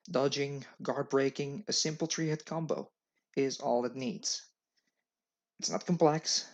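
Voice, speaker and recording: Wry non-native man reviewing games. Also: mid-range voice. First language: not English. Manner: opinionated, wry, matter-of-fact. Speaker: game reviewer and channel host. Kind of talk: scripted game review commentary. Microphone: webcam. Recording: dull lo-fi webcam audio.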